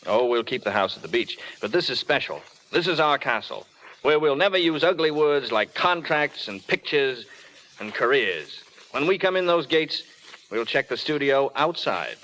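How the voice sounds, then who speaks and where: An actor playing a wry, world-weary, romantic film star in old radio drama style, suave and understated. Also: male voice, medium pitch, big pitch swings, normal speed, pushed and bright, a little hiss or hum, poor audio. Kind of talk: 1930s-40s radio drama acting. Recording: old radio recording with faint music.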